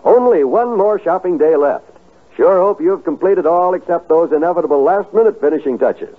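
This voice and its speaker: A man's voice: a little high, expressive, normal speed, slightly rough. A man reading scripted announcements in the style of a 1950s radio announcer. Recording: tinny 1950s radio broadcast recording.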